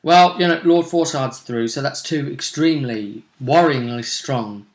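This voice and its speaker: A man's voice: medium pitch, unhurried. A man hosting a tabletop role-play series, chatting casually and wryly as he recaps a tournament.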